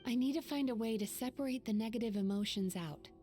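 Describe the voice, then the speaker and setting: A woman voices a wise young dream healer in a video game. The voice is soft-toned and slightly high, calm and explanatory, with faint music underneath. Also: close to an everyday voice. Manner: measured, explanatory, composed.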